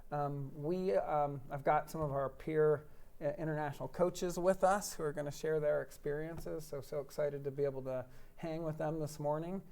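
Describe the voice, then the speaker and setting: An American man hosting a live online chat for admitted students, relaxed and talkative with a lot of fillers. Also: fairly high pitch, normal speed, gravelly. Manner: relaxed, chatty, many fillers, jokes at himself. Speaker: director of admissions office, host.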